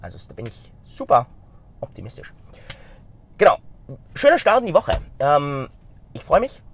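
A middle-aged man with a slight stuffy cold, talking straight to camera from inside a car, energetic and gesturing as he goes. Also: fairly high pitch, normal speed, a little hiss or hum. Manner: energetic, gesturing with his hands, talks straight to the viewer. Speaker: vlogger and company founder. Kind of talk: casual talk to camera. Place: inside a car in city traffic. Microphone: phone camera mounted in the car.

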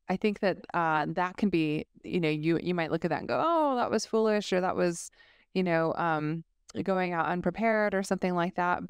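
A woman with a lower voice co-hosts a church women's study talk. She rambles warmly through her thoughts, full of restarts and fillers. Her voice comes through very clear and dry, close to the mic. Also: no room sound. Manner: rambling, many fillers and restarts, laughs easily. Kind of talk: casual faith discussion.

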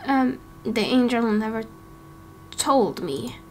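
Hesitant, soft-spoken royal played by a woman, high voice, slow delivery, game roleplay. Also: lively intonation, low-quality recording. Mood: hesitant, unsure. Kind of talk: amateur game roleplay voice acting.

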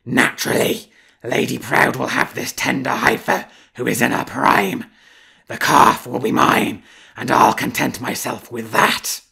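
A peevish, jealous wolf courtier with a gravelly, raspy growl, as a male storyteller puts him on. He drags his words out slowly and pushes them with a sharp, tense edge. The storybook villain forever warns against his rival. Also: mid-range voice, little pitch movement, heavily acted. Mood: growling, grasping. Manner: growling, slow and strained.

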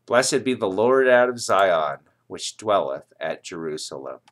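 A man in his sixties reads Bible verses aloud in a solemn style, with measured, declamatory phrasing, indoors near the mic. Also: deep voice, very animated. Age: 60s. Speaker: scripture reader. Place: small indoor room, office chair.

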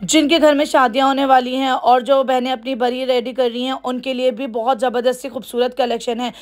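High, eager woman with a Karachi Urdu accent. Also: fairly even intonation, fairly quick. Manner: enthusiastic, repeats praise and prices. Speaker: shopping vlogger. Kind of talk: upbeat shop-tour vlog. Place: busy clothing shop indoors.

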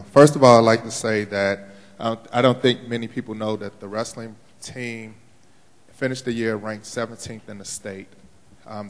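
Low, steady man addressing a school board, formal, reverb-heavy hall. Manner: formal, measured appeal. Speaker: parent giving public comment. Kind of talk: public comment at a school board meeting. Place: large auditorium.

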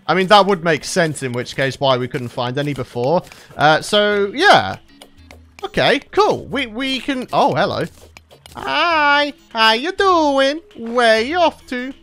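A man's voice: high-pitched, sing-song, takes it slowly, a bit bright, soft background music. A young man, a regular gaming channel host, chatting cheerfully through a let's play and often laughing, his voice tired from recording late at night. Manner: chatty, upbeat, laughs often, talks to viewers.

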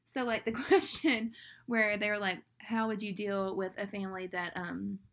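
A woman's voice: mid-range voice, steady tone, normal speed, fairly bright tone. Chatty young Southern woman vlogging. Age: young adult. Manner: chatty, rambling, fillers like "like". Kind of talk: casual talk to camera. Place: small room indoors.

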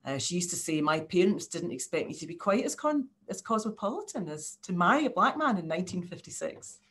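Older woman from Scotland, low voice, earnest academic speaking on an online panel. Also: very animated, a little fast, fairly bright tone, slight background noise. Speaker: university professor, panel speaker. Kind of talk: informal speech at an online launch. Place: living room at home, indoors. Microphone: video call.

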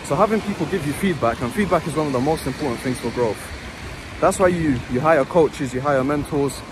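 A man's voice: a little high, animated, fairly quick, noisy background. A young man narrating his own gym vlog, explaining training in an earnest, instructive way and repeating phrases for emphasis. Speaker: fitness vlogger.

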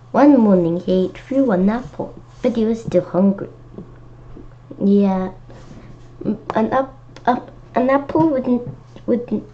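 A woman's voice: medium pitch, dark tone. A woman reading a children's storybook aloud, adding simple, childlike, chatty comments as she goes. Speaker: children's book reader. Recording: dull lo-fi home recording with noticeable noise.

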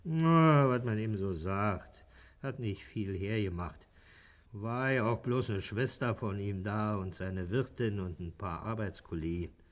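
A man's voice: on the low side, a little slow, lightly acted. An actor playing a gregarious, middle-aged insurance salesman who gripes in colloquial Berlin dialect, in a German radio play. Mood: subdued, musing. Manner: colloquial, griping.

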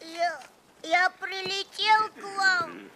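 High-voiced, bewildered cartoon boy. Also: steady tone, very slow, background noise, full character voice. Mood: bewildered, hesitant. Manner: hesitant, plaintive. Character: naive, bewildered little boy space traveller. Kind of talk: animated film acting.

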